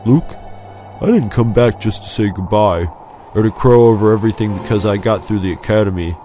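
A man's voice: fairly low pitch, moderate pace, warm tone, faint music behind, natural voice. Man playing a confident, knowing young pilot friend. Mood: solemn, confiding. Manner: solemn, knowing, emphatic. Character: easygoing, smug older friend, confiding young male pilot.

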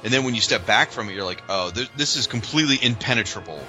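A man co-hosting a podcast, chatting casually and informally like an animated fan. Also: medium pitch, quick pace, soft background music. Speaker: podcast co-host.

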